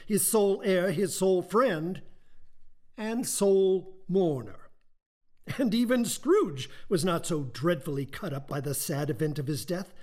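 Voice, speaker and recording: Man acting wry, higher-voiced storybook narrator. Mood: wry, conversational. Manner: dramatic, emphatic, savouring words. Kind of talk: theatrical storytelling narration for radio play.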